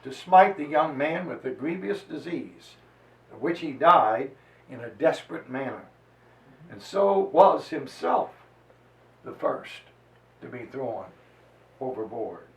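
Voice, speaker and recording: A man giving a solemn, theatrical dramatized reading of a historical narrative, declaiming like a preacher with dramatic pauses and swells. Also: medium pitch, expressive. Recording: roomy echo, distant microphone.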